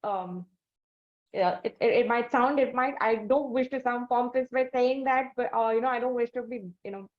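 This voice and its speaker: A woman's voice: fairly high pitch, steady tone, normal speed, lo-fi sound. A woman with an Indian accent hosting a conversational music interview, effusive and wordy in how she talks. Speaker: interview host.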